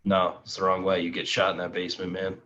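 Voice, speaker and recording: A man's voice: deep voice, monotone, quick pace, bright, forceful tone. A man co-hosting a podcast with blunt, jokey, profane banter. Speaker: podcast co-host. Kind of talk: casual banter. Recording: muffled, noisy lo-fi mic.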